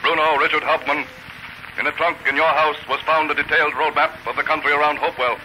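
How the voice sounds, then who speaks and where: Stern old-time radio prosecutor, very sharp voice, declaiming, a bit of hiss. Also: male voice, a little high, little pitch movement, quick pace. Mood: stern, accusing. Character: stern accusing interrogator listing evidence. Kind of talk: 1930s radio news dramatization. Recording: noisy old 1930s radio recording.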